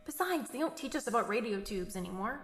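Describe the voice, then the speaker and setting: A woman's voice: a little high, animated, quick pace. Woman as wry practical engineer, echoey room. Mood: wry, nervous. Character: wry, practical structural engineer, wary sidekick. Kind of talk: audio drama acting.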